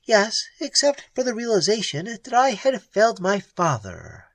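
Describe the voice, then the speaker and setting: A reader voicing a proud young woman outlaw who turns from soft and wistful to shrill anger, in an audiobook. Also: wildly swooping pitch, normal speed, no room sound. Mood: bitter. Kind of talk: audiobook character voice.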